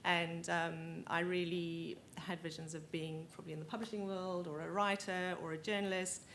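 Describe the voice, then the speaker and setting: A woman with a South African accent introducing herself on a conference panel, friendly and quick to laugh. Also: fairly low pitch, level tone, fairly bright tone, slight background noise. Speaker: panelist, analytics team lead.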